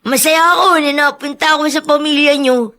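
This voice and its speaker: Radio drama part: sweet, guarded street boy, very high voice, Filipino accent. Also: steady tone, moderate pace, pushed and bright. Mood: curious, earnest. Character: young homeless boy, sweet, timid and secretive.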